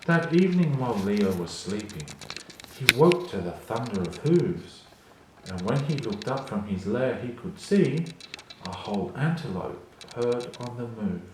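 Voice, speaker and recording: A man storyteller giving an expressive children's read-along narration. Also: fairly low pitch. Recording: very echoey room, faint noise.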